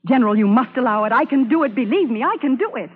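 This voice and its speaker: A woman's voice: a little high, expressive, fast, slightly rough, phone-quality sound. A woman playing an earnest, resolute young Civil War army nurse in old-time radio drama style. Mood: eager, insistent. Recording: band-limited vintage radio recording.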